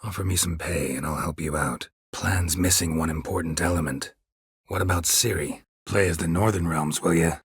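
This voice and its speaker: Game role: stoic male monster hunter, deep and very gravelly, terse, deadpan, world-weary. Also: normal speed, dry recording, exaggerated voice. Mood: deadpan, dry. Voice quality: gravelly, husky rasp. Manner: terse, deadpan, dry understatement. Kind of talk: video game character dialogue.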